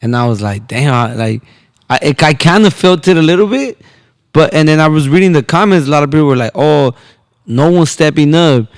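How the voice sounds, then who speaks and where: A man in his twenties speaks as a podcast host in a casual, slangy way. His voice sits a little higher than most men's. The sound is dry, with hardly any room on it. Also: animated, fairly quick, dry recording. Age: 20s. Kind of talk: casual interview chat.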